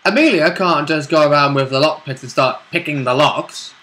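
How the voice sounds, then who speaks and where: A man's voice: fairly high pitch, normal speed, bright, forceful tone. A man talking casually and informally as a player in a tabletop role-play game, chipping in with agreement and asides. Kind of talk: casual chat about a game session.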